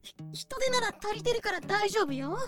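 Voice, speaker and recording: Bossy young mistress of the estate, very high voice, flustered, anime audio drama. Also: female voice, animated, normal speed, over music. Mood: flustered, eager. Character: bossy young lady plantation owner, easily flustered. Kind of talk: anime-style fan audio drama acting.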